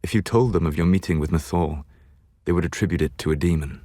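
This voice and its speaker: A proud, scholarly male elf mage for a fantasy game. His delivery is measured and precise, with a dry, superior edge. The voice sits in a low to middle male register and is recorded close and dry, with no room around it. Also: dark tone, dry recording. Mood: grave, solemn. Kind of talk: video game character dialogue.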